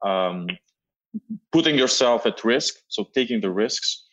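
A man's voice: mid-range voice, expressive, unhurried, a bit bright. Earnest man. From Albania. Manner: earnest, repeats words while searching for them. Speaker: guest. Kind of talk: casual interview answers.

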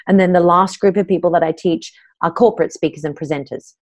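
A middle-aged Australian woman speaks as an interview guest, with a voice sitting a bit low for a woman. She tells her story in an open, earnest way, as a voice coach talking about her work. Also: fairly even intonation, fast.

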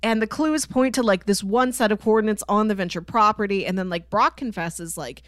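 A woman's voice: mid-range voice, brisk, a bit bright, dry recording, studio quality. A woman co-hosting a podcast, talking casually through a TV show and acting out imagined lines as she explains. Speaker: podcast co-host. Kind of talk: casual conversational TV show discussion.

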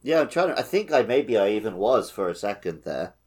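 Lower-voiced man chatting about games. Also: sing-song, brisk, darkish tone, slightly rough. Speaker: podcast co-host. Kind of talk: casual game discussion.

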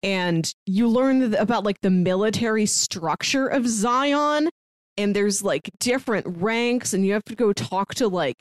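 A woman's voice: medium pitch, expressive, a little slow, no echo, clean studio sound. A woman co-hosting a podcast, chatting in a wry, conversational way with laughing asides. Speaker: podcast co-host. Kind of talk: casual chat.

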